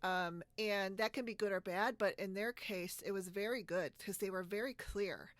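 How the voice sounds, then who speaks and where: A woman podcast host chats casually in a medium-pitched voice. Her manner is talkative and informal, and she laughs often. The sound is close and dry, with very little room in it. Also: moderate pace, no room sound. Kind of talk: casual video podcast chat. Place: split-screen video call, recorded dry.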